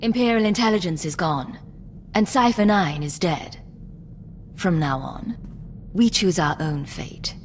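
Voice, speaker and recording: An actress playing a cool, resolute secret agent in a video game, with a controlled, serious and clipped delivery. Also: female voice, on the low side, lively intonation, a little slow, pauses often, a little hiss or hum. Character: cool, resolute female secret agent, self-possessed and guarded. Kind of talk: scripted dramatic game acting. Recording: reverberant hall, music behind.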